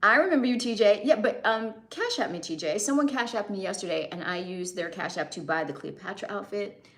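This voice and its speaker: A woman's voice: medium pitch, animated, a little fast. Chatty young American woman streamer, talking casually and laughing easily. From United States. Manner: chatty, answers chat comments, laughs often. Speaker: live streamer. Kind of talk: casual live-stream chat.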